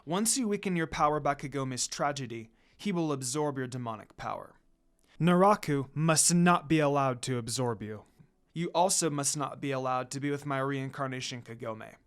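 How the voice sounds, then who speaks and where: A man's voice: medium pitch, lively intonation, moderate pace, close to an everyday voice. Man voicing a solemn undead priestess, cold and hateful. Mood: stern warning.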